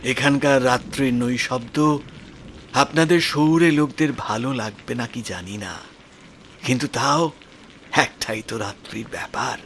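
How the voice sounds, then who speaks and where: Solicitous old gentleman, rain behind. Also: mid-range voice, a little slow, slight background noise. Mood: polite, reassuring. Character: solicitous old village gentleman hosting a guest. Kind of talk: Bengali audio horror story acting.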